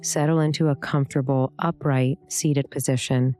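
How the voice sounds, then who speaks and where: A woman leading a guided meditation, giving calm, gentle, soothing instructions with pauses between phrases. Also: extremely low pitch, soft background music. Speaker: meditation guide, yoga teacher.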